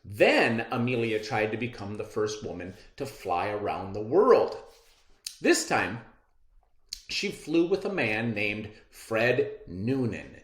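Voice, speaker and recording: Male teacher, read-aloud lesson, slow deliberate pace, echoey room. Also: fairly low pitch. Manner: animated, encouraging teacher talk to children.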